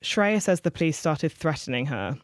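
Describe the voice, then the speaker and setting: A reporter giving scripted news narration with a clear, even delivery. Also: mid-range voice, normal speed, no echo, clean studio sound.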